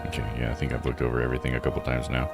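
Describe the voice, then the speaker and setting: Low-voiced man rambling through game commentary. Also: monotone, racing, loud music behind the voice. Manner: rambling, explanatory, thinking aloud. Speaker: streamer playing and recapping a visual novel. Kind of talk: casual live let's-play commentary.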